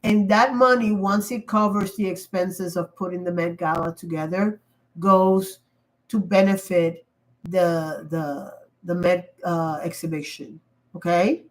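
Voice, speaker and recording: A woman talks on her livestream while sick, her voice giving out and interrupted by coughs. She rambles and restarts, pressing her points with emphasis. Her pitch sits a little low. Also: steady tone, a little slow, poor audio. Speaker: livestream host. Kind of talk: casual livestream commentary. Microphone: computer mic.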